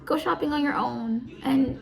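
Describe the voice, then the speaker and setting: A young woman in her early twenties talks through advice in a loose, rambling way. Her voice sits a little high, with a heavy gravelly rasp. She drifts off track and circles back to the same point. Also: moderate pace, a little mellow, rough recording. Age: early 20s. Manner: rambling, easily distracted, repeats herself. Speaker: audio live streamer. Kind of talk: casual advice talk.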